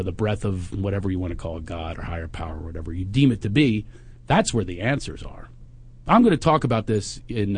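A man's voice: fairly low pitch, a little mellow, breathy edge. A man from Philadelphia with a Philadelphia accent, hosting a podcast in a reflective, motivational way. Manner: reflective, motivational, spiritual encouragement. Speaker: podcast host. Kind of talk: casual podcast talk.

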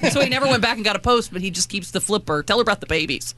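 A woman's voice: mid-range voice, racing. A woman co-hosting a morning radio show, teasing her co-host and telling stories with gusto in a studio. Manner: teasing, storytelling, lively. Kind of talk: casual morning radio banter. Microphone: studio mic.

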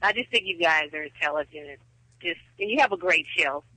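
A man's voice: a little high, expressive, brisk, sharp tone, no room sound. A man with a Texan accent calling in to a show by telephone, chatting in an effusive, admiring way. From Texas, United States. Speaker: caller. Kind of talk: casual phone-in chat. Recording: thin, dry telephone line.

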